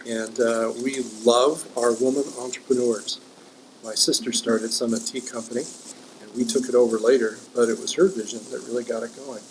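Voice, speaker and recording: A man's voice: mid-range voice, steady tone, moderate pace, a little mellow, a little hiss or hum, rough recording. A man hosting a casual interview in a coffee shop, friendly and curious, with many "uh"s between phrases. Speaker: host, tea company owner.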